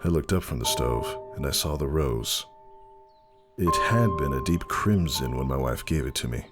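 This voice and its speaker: Very deep-voiced man narrating over music. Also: lively intonation, moderate pace. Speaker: audiobook narrator. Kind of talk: dramatic first-person story reading. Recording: music behind the reading.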